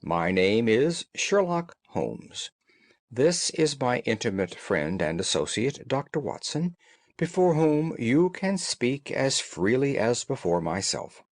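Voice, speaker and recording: A man voicing a brisk, observant gentleman detective in a storybook, courteous, precise and assured. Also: medium pitch, sing-song, dry recording. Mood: cheerful, courteous. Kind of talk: audiobook character dialogue.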